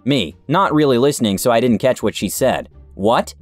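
A man's voice: mid-range voice, animated, brisk, no echo, faint music behind. A man narrating forum stories in a scripted reading style, with a close studio mic.

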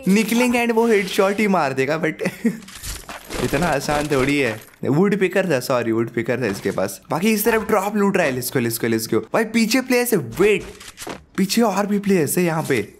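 A young man with a sore throat giving excited live commentary over his own mobile battle royale match, hyping up his kills and laughing often, speaking into a headset at home. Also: high-pitched, moderate pace, slight background noise. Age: young adult. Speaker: gaming YouTuber. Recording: game gunfire behind.

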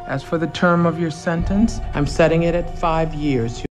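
A woman plays a stern judge with a slightly low voice and a formal delivery. Music plays clearly underneath her lines. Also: steady tone, normal speed, natural voice. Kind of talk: film dialogue. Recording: film audio with music.

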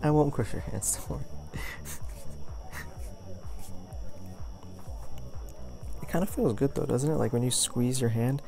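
A young man acting as a caring, goofy boyfriend in an intimate ASMR roleplay, talking in a rambling, halting way. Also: mid-range voice, vocal fry, dark tone, close to an everyday voice. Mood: gentle, casual. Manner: rambling, halting, chuckling storyteller. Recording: music playing behind the voice.

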